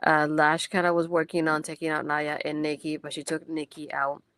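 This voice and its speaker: A woman's voice: low-pitched, little pitch movement, fairly quick, fairly bright tone, clear, resonant tone, no echo. A young woman, not a native English speaker, hosting a casual post-show recap in a chatty, enthusiastic way with many fillers. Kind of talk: casual wrestling event recap.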